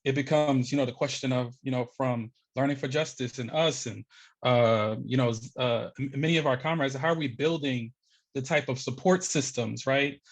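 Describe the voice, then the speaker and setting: An American man in his thirties speaking off the cuff as a panelist, halting as he thinks aloud on a video call. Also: medium pitch. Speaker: educator and activist panelist. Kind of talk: panel discussion, unscripted. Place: small home room, indoors. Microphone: headset on video call.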